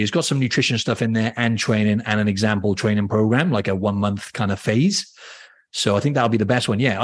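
Guy from wales w/ a welsh-aussie mix accent, kinda low voice, rambly chatty. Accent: mixed Welsh and Australian. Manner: chatty, rambling with ums and restarts. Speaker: guest, fitness coach. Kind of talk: casual interview chat.

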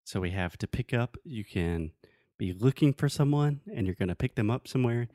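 A man's voice: mid-range voice, lively intonation, a little fast, warm tone, no room sound, clean studio sound. A man who is a native English speaker, teaching in a casual conversational way with everyday examples and checking that the listener follows. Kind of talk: casual conversational language lesson.

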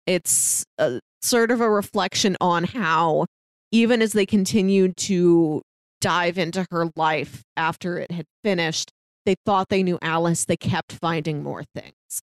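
A woman's voice: fairly low pitch, a little slow, clean tone, dry recording. A woman co-hosting a podcast, talking through a film in a casual, analytical way. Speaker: podcast co-host.

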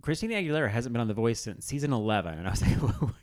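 A man co-hosting a casual pop culture chat, speaking in a halting way peppered with "like". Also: mid-range voice, lively intonation. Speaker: podcast co-host.

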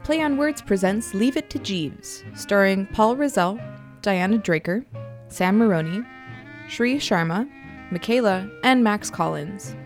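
A woman's voice: medium pitch, animated, unhurried. A woman announcing the cast and credits of a radio drama in a scripted style. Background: faint guitar music.

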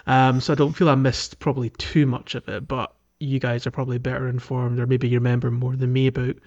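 A man hosting a podcast in casual conversation, thinking aloud in a halting way. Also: medium pitch, flat delivery, a little mellow, lo-fi sound. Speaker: podcast host.

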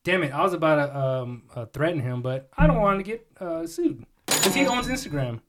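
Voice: mid-range voice, animated, a little fast, noisy background. A podcast host bantering casually in an irreverent, joking, profane way.

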